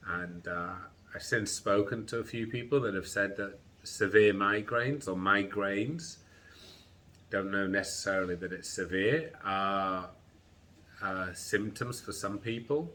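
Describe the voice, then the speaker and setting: A middle-aged man with a congested head and groggy chest, slowly and haltingly describing his illness in a personal vlog. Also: lowish voice, bright, forceful tone, slight background noise. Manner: slow, halting, tired recounting. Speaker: vlogger.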